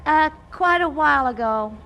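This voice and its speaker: A high, girlish voice posing as an artless twelve-year-old in a 1940s radio comedy. She stammers and dodges with childlike chatter. The old broadcast is clearly noisy. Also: animated, moderate pace. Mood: feigned innocence.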